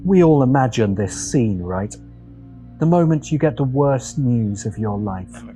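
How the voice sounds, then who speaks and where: Man narrating dramatically, pitch rising and falling. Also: animated, unhurried, dark tone. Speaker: video essay host. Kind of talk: scripted narration, video essay commentary. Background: background music.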